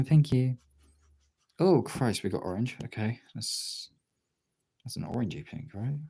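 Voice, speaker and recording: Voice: medium pitch, lively intonation, unhurried, dark tone, breathy edge. A streamer chatting casually while drawing, rambling and thinking aloud in a self-deprecating way.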